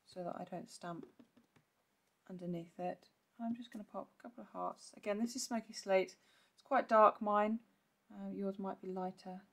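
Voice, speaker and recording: Croaky-voiced woman teaching a craft. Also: mid-range voice. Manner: chatty, clears throat often. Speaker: papercraft demonstrator. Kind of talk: casual step-by-step craft tutorial.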